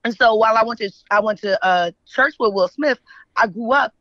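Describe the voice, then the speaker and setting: A woman's voice: fairly high pitch, brisk, no echo. A middle-aged woman with a mixed Philadelphia and New York accent tells stories in a casual, slangy way, often checking in with the listener. The sound is dry and a little dull and muffled. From West Philadelphia, United States. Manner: animated slangy storytelling, checks in with "right?". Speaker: radio show guest, writer. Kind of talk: casual interview chat, reminiscing.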